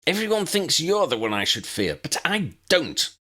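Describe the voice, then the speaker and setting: A man's voice: a little high, sing-song, normal speed, sharp tone, pitched above the performer's own voice, heavily acted. A man voicing an imperious, scornful young witch villain in a fantasy audiobook, snappish and sneering. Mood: barking, contemptuous. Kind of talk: fan-fiction audiobook character voice.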